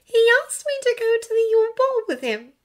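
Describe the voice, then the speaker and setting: A very high, swooping teenage witch played by a woman in an audiobook. She is bookish and earnest, insistent and exasperated, yet caring. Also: big pitch swings, brisk. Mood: flustered. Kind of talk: acted audiobook dialogue.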